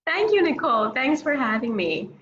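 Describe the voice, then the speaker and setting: Bright-voiced woman from Pakistan, slightly dull audio. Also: high-pitched, big pitch swings, normal speed. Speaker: guest author. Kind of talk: conversational interview, personal storytelling.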